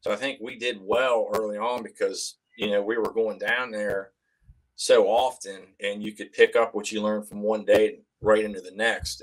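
A man's voice: on the low side, a bit bright, poor audio. A man in his fifties talking casually as a podcast guest on a video call from a room indoors. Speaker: podcast guest, tournament angler. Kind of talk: casual chat.

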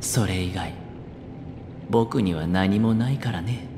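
A man voicing a suave young gambler in an anime game, theatrical and deliberate, with hidden vulnerability. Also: low-pitched, background noise. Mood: subdued, wistful. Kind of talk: scripted anime game voice acting. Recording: long reverb under music. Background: background music.

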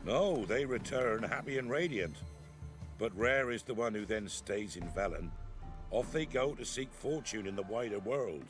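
A man's voice: lowish voice, lively intonation, normal speed, music in the background, close to an everyday voice. A man playing a solemn, devout peasant elder in a fantasy game, speaking in archaic rustic phrases. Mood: reverent. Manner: archaic, reverent rustic speech. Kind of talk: fantasy video game acting.

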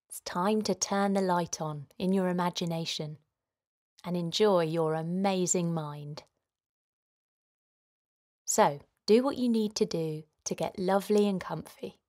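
A woman leading a guided relaxation for children in a gentle, soothing manner, giving simple instructions with pauses. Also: lowish voice. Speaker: children's guided meditation host.

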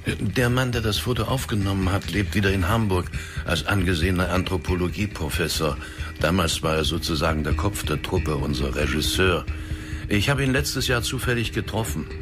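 A sober informant played by a man in a radio crime play. His deep voice explains things in a measured way, with music behind him. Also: natural voice. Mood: sober, informing. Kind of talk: radio crime drama acting.

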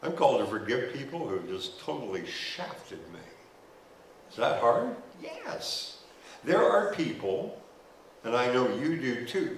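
A man's voice: mid-range voice, slight background noise. An older man preaching as pastor on a church stage into a headset mic, conversational with humorous asides. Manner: gestures while walking the stage. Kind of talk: sermon. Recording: roomy church sound from a distance.

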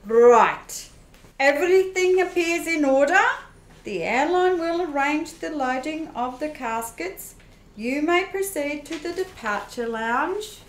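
Woman as a high-voiced officious clerk. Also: lively intonation, takes it slowly, a little hiss or hum. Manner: formal, officious. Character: officious, sceptical female government clerk. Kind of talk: old-time radio play acting. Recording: roomy echo.

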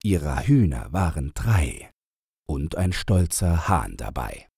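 A man narrating rhyming children's verse as an audiobook, in an expressive, measured storyteller's manner. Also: extremely low pitch, gravelly, husky, no room sound, studio quality.

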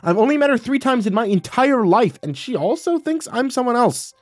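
Man voicing exasperated adult son, defensive, evasive, sarcastic, widely swinging pitch, audio story with music. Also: expressive, fairly quick, darkish tone, dry recording, natural voice. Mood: wry. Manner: defensive, stammering when pressed.

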